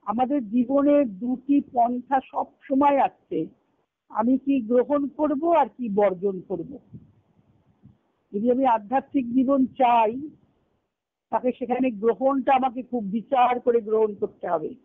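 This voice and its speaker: A woman's voice: fairly high pitch, slow pace, dry recording. A woman giving a devotional discourse as a spiritual teacher, explaining by asking and answering her own questions and quoting Sanskrit verses. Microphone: conference call line. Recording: phone-like narrow-band conference call.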